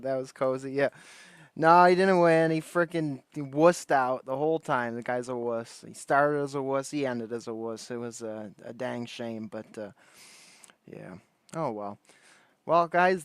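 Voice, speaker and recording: A man's voice: mid-range voice, clear, resonant tone, no room sound. A man hosting a livestream, chatting casually in a slangy, rambling way. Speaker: livestream host.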